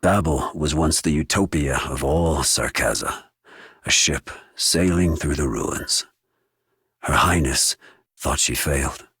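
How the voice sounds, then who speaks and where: Super deep raspy game warrior, solemn and slow. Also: male voice, a bit bright, no room sound, heavily acted. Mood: solemn, hopeful. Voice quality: husky, rough. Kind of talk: video game character voice acting.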